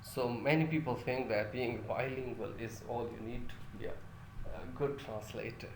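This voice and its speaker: A man's voice: medium pitch, moderate pace, creaky, roomy sound, background noise. A male lecturer whose first language is Urdu, teaching a class with many fillers and restarts and putting questions to his listeners.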